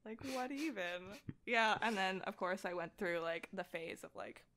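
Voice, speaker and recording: A woman's voice: medium pitch, moderate pace, a bit bright. A young adult woman telling personal stories in a casual chat as a podcast guest, acting out bits of what people said. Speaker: podcast guest, voice actor and director.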